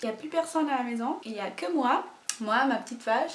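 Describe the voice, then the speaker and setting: A woman's voice: high-pitched, a little fast, echoey room. A young woman from Portugal speaking with a Portuguese accent, telling nervous, self-mocking stories in a casual vlog at home. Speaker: vlogger. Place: home room.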